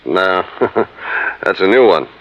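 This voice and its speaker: Self-assured young company lieutenant, lowish voice. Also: male voice, animated, slow. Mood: cool, assured. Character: confident, loyal young male lieutenant of an oil tycoon. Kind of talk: 1950s radio drama acting. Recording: dull, band-limited old radio recording.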